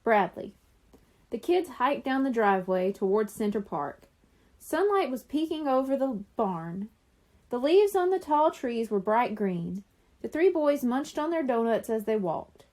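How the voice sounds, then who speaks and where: A woman with a fairly high voice narrates a children's story. Her reading is expressive and scripted, with very animated pitch movement that suits an audiobook for young listeners. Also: measured pace.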